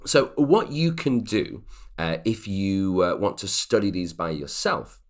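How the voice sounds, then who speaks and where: Lively low-voiced male English teacher. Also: unhurried. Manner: patient, explanatory, checks in with "okay". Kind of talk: online grammar lesson.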